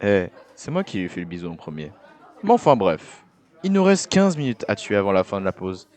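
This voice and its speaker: A man's voice: on the low side, very animated, brisk, darkish tone, some chatter behind. A man voicing a brash, cheeky, mocking schoolboy in an amateur cartoon dub. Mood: casual cheeky.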